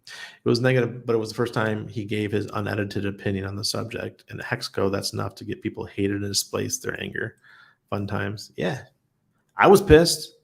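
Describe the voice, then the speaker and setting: A man's voice: on the low side, moderate pace, vocal fry, husky. A man hosting a casual livestream, reading viewer comments aloud and answering them in a blunt, chatty way, often laughing. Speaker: livestream host.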